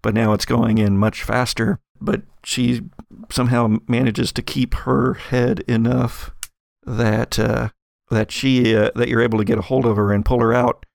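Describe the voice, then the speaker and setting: A man working as game master, narrating a tabletop story in a halting, conversational, improvised way. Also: mid-range voice, little pitch movement, gravelly, darkish tone, dry recording, studio quality.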